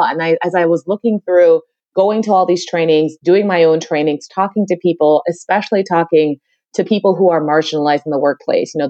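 A woman's voice: on the low side, a little mellow, no room sound, muffled recording. A middle-aged woman from the Caribbean, talking energetically as a podcast interview guest and often repeating her phrases. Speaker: guest, diversity consultant.

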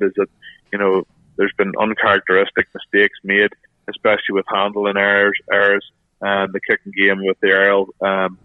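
A man, a former rugby player, speaking frankly and haltingly as a podcast guest while losing his voice. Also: lowish voice, no room sound. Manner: frank, halting, many restarts. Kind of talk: podcast interview over phone. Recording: tinny phone line, dry.

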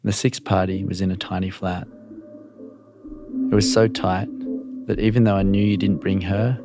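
A man reading a literary first-person story in short, measured, confessional sentences. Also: deep voice, level tone, mellow, faint music behind.

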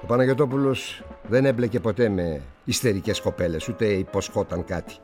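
An actor playing a worldly, condescending older newspaper publisher in a radio drama, speaking with musing pauses. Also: male voice, fairly low pitch, darkish tone, soft background music, lightly acted. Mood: cynical, assured. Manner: theatrical, patronizing, with musing pauses.

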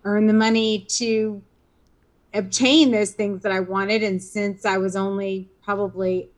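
A woman's voice: mid-range voice, level tone, measured pace. Chatty woman in her fifties. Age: 50s. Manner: rambling, many fillers and restarts. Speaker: interview guest. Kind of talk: casual conversational interview.

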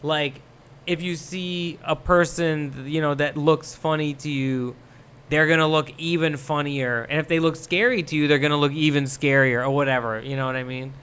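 Young guy from thailand, kinda high smooth voice, rambly laid-back chat. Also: moderate pace. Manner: rambling, relaxed, many fillers. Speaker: guest. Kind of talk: casual podcast conversation.

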